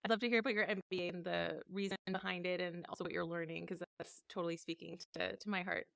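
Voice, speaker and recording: A woman's voice: lowish voice, fairly even intonation, fast talker, creaky, fairly bright tone, no echo, clean studio sound. A woman hosting a podcast interview, enthusiastic and gushing in a casual way. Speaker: podcast host.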